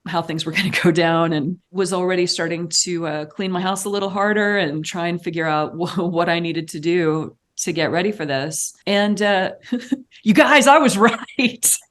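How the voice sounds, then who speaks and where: A woman chatting as a podcast guest in an expressive, anecdotal, wry way. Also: on the low side, moderate pace. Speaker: podcast guest, theater artistic director. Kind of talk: casual, anecdotal chat.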